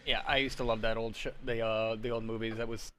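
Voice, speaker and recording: A man's voice: on the low side, flat delivery, brisk, fairly bright tone, a little hiss or hum. A man in his thirties chatting casually on a group call, blunt and slangy. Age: 30s. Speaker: podcast cast member. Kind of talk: casual chat.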